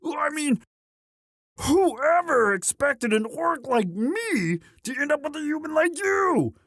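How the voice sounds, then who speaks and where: Orc boyfriend, male performer: sheepish, devoted muscle, halting unhurried delivery, very dry close sound, romantic audio drama. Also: extremely high pitch, big pitch swings, a bit bright, no room sound, full character voice. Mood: bashful, sincere. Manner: halting, sheepish, stammering with many uhs. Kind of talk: romantic roleplay audio monologue.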